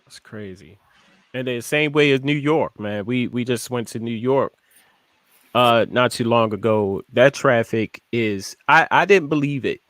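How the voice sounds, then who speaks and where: A man's voice: mid-range voice, a little slow, fairly bright tone, no echo. A man hosting a casual interview, laid-back, mostly giving short affirming replies. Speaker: talk show host.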